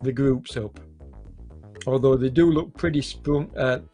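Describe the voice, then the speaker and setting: Hoarse male race commentator, slow and hesitant, faint music underneath. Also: medium pitch, mellow. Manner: hesitant, many fillers, unhurried. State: hoarse with a cold, coughing.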